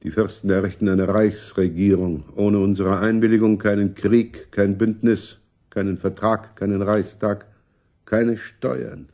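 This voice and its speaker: A man playing a scheming, self-satisfied older prince in a radio drama, with a husky voice. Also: lowish voice, flat delivery, a little slow, warm tone. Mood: firm, commanding. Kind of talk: German radio drama acting.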